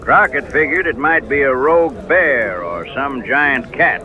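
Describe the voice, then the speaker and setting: An actor playing an excitable, yarn-spinning frontier townsman in a radio drama, folksy and emphatic. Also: mid-range voice, measured pace, narrow, tinny audio, faint music behind, lightly acted. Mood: folksy, admiring.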